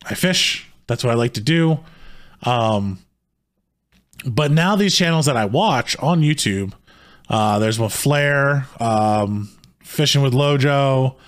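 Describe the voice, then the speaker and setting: A man's voice: mid-range voice, animated, slow pace. Heated, rambling man co-hosting a podcast. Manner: heated, rambling talk with many restarts. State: slightly hoarse. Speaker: podcast co-host. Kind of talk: casual podcast chat.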